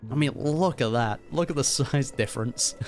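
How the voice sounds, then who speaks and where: A man hosts a gaming reaction with loose, off-the-cuff commentary. His pitch is average and his wording slangy and relaxed. Music plays faintly in the background. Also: normal speed.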